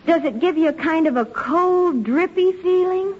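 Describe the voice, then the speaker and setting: A woman's voice: very high-pitched, normal speed. An actress playing a scatterbrained, guileless young woman, earnest and hesitant, in a 1940s radio comedy. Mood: guileless, helpful. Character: scatterbrained, naive, chirpy young lady lodger. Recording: muffled, hissy old radio recording.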